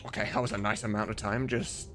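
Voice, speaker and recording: A man's voice: on the low side, fairly even intonation, quick pace, background noise. A man streaming as he works on a game mod, talking casually and informally, often muttering to himself. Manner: informal, sweary, muttering to himself while working. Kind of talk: casual livestream work chat. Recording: music playing behind. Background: music, computer keyboard typing.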